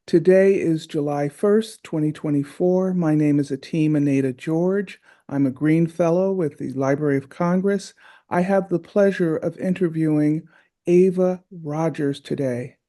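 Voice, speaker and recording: A man opening an oral history interview in a measured, formal way. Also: fairly high pitch, warm tone.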